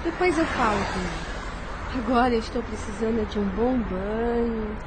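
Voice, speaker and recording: A woman's voice: a little high, normal speed, very noisy, lightly acted. A woman playing a caring, troubled young woman trying to stay strong in a Brazilian radio soap, with a Brazilian accent. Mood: reassuring. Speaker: radio drama actress.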